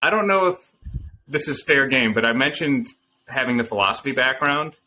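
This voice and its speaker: A man talking earnestly and thoughtfully as a founder guest in an interview, pausing with frequent ums. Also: medium pitch, lively intonation, bright, forceful tone, poor audio. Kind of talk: casual interview answers.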